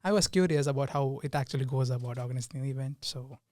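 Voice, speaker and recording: A man's voice: mid-range voice, fast, a little mellow, dry recording, clean studio sound. Young man, from India, podcast guest, hesitant, casual. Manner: hesitant, laughs often. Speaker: guest, team lead. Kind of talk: casual interview chat.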